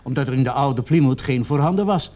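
Radio play: young male murder suspect played by a man, medium-pitched, remorseful, defensive, halting under questioning. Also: darkish tone, close to an everyday voice. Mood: defensive, explaining. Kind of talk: radio drama acting.

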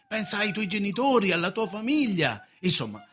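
An actor playing an agitated, domineering middle-aged uncle in a radio drama, with an emphatic, scolding theatrical delivery. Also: male voice, sits high, very animated, fairly quick, a bit bright, soft background music. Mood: agitated, reproachful.

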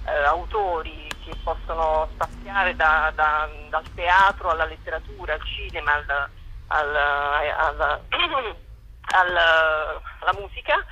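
A woman's voice: deep voice, sharp tone, muffled recording, a little hiss or hum. A woman interviewed by telephone as a festival director, explaining and listing details with hesitant repetitions.

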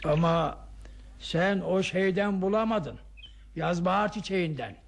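A man's voice: high-pitched, measured pace, creaky. An actor playing an eager, effusive older man in a radio play, exclaiming and repeating his words. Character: Hulusi, eager grateful older man, excitable cycling companion. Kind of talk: Turkish radio theatre acting. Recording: faintly noisy old radio drama recording.

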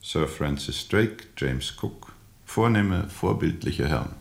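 An actor playing a weary, bitterly ironic steamboat captain in a radio play, speaking deliberately and sardonically with weighty pauses. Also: male voice, extremely low pitch, lively intonation, vocal fry, heavily acted. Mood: sardonic. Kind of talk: radio play acting, testimony under questioning.

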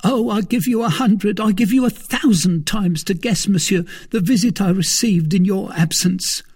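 A man voicing a frightened, excitable young male servant who talks eagerly and breathlessly, with a husky voice. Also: sits high, moderate pace, pitched above the performer's own voice. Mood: anxious eager.

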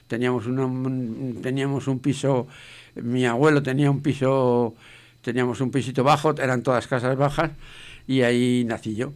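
A man's voice: medium pitch, fairly even intonation, moderate pace. Elderly man from Madrid. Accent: Madrid Spanish. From Madrid, Spain. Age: 80s. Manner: plain, affirming with repeated "sí". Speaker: interview guest. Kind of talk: casual radio interview.

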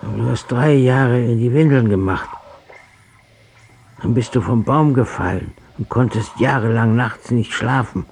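A man's voice: medium pitch, a little slow, vocal fry, a little mellow, slight background noise. An actor playing a bitter old father on his deathbed, reproaching his son in a radio drama. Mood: reproachful. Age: older.

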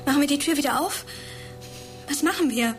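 A woman's voice: high voice, brisk, faint music behind. A woman playing an earnest, indignant teenage schoolgirl in a radio drama, urgent and reproachful. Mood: anxious, insistent. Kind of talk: naturalistic radio drama acting.

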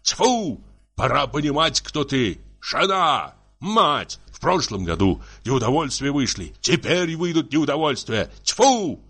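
A man's voice: medium pitch, big pitch swings, a little slow, sharp tone, breathy edge, pitched above the performer's own voice, full character voice. A man voicing a tipsy, jealous, bullying husband who scolds in sputtering, tearful exclamations for a story. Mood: shouting, scolding. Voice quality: muffled, tearful, raised voice.

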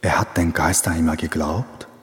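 Radio play role: troubled former assistant, deep and earnest, reflective, long reverb around him. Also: male voice, steady tone, moderate pace. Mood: puzzled. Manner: earnest, short reflective sentences. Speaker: academic assistant. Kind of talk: radio drama acting.

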